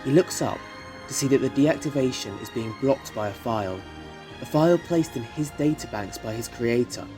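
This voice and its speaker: A man's voice: medium pitch, expressive, moderate pace. A man reading scripted narration for an audio drama, with music playing behind him. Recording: music under the voice.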